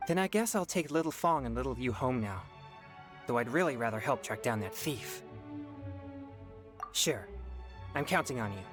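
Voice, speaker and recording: A woman playing a spirited, earnest young heroine in a video game, expressive and protective. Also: rumbling low, normal speed, pauses often, over music. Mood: thoughtful. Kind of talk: video game cutscene acting.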